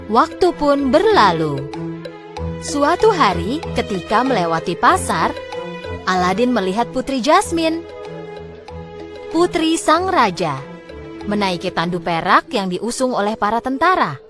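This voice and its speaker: A woman narrating a scripted fairy tale in a dramatic, expressive storytelling manner. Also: fairly high pitch, a little slow, pauses often, music in the background.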